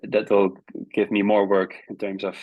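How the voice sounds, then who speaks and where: Dutch man, lowish voice, dry close sound, halting interview guest. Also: completely flat delivery, slightly rough, dry recording, phone-quality sound. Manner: hesitant, repeats words while searching for phrasing. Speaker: guest, travel video creator. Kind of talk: casual interview talk.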